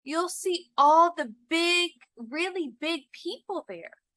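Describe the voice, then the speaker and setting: An eager, modest clerk of a husband in a classic short story, acted out by a woman reader. The voice is high and bright, exclaiming and anxious to please, with slow, emphatic delivery. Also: expressive, dry recording, studio quality, exaggerated voice. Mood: coaxing.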